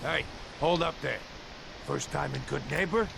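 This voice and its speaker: A man playing a bullying, sneering street extortionist in a video game, gravelly and taunting. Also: fairly high pitch, measured pace. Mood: taunting threat. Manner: taunting, threatening. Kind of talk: video game character dialogue. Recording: game audio with noisy ambience.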